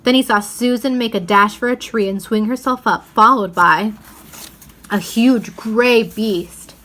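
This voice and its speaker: A woman reads a children's novel aloud in an expressive storytelling style, leaning into the dramatic words. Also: on the high side, unhurried. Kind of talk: storybook read-aloud narration.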